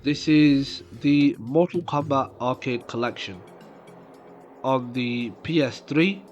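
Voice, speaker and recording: A man's voice: mid-range voice, muffled recording. A man with a cold and a nasal voice, casually commenting on gameplay for his channel, with fillers. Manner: slow, rambling, many fillers. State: has a cold coming on. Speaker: gaming YouTuber. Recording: game music behind voice. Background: game music.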